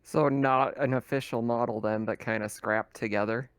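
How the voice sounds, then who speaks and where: Male tabletop player, mid-pitched, dry close recording, casual in and out of character. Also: steady tone, normal speed, clean tone, no echo.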